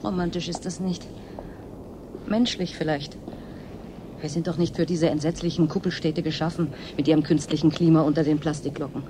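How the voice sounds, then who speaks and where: A woman playing a weary, sharp-tongued yet wistful researcher in a German radio drama. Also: low voice, steady tone, moderate pace, natural voice. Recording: clear ambient noise behind.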